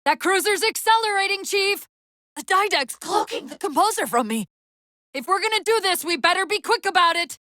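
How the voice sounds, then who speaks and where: A woman playing a brisk, wry, urgent military AI companion in a video game, giving crisp commands and tactical briefings. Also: extremely high pitch, expressive, sharp tone, no echo, clean studio sound, full character voice. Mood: urgent, alarmed.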